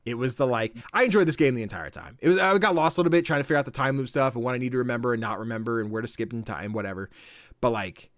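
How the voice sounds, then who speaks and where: A young man co-hosting a casual podcast, talking excitedly, swearing often and acting out game dialogue in higher voices. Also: very fast, no room sound, clean studio sound. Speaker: podcast co-host.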